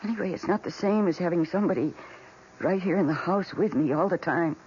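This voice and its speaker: An actress with an American accent playing a lonely, timid middle-aged housewife in a radio drama, pleading hesitantly and earnestly. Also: female voice, fairly low pitch, brisk, a little mellow, lightly acted. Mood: wistful, yearning. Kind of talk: vintage radio drama acting. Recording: dull, noisy old radio recording.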